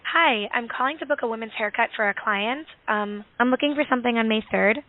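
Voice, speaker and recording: A woman's voice: a little high, a little fast, no room sound. A female automated assistant booking an appointment in a polite phone conversation, with small hesitations. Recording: thin, dry phone line.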